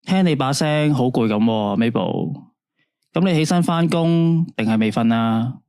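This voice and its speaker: A man's voice: mid-range voice, expressive, a little slow, darkish tone, smooth, no echo, clean studio sound. A man playing a chatty, easygoing late-night radio host in a radio drama, speaking Hong Kong Cantonese in a playful, conversational way. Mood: friendly, curious.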